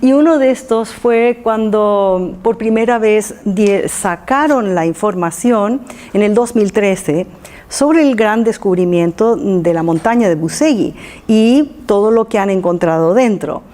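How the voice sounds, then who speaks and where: A woman who is not a native speaker, giving an informal public talk and often halting to search for words. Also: mid-range voice, mellow. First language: not Spanish.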